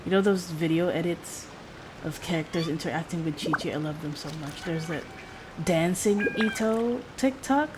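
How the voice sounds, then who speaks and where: A woman's voice: lowish voice, moderate pace, hardly any pauses, noisy background. A woman game streamer chatting casually to her viewers during a livestream. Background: music.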